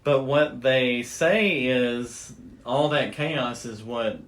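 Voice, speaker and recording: A man's voice: mid-range voice, very slow, a bit bright. A man hosting a podcast, telling a story in a casual, informal and loosely phrased way. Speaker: podcast host.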